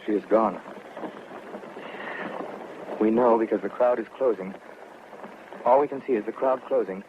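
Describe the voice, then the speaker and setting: An actor playing a grave radio announcer, giving solemn, poetic live commentary as witness to a crowd. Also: male voice, on the low side, measured pace, close to an everyday voice. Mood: grave, measured. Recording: dull, noisy old radio recording.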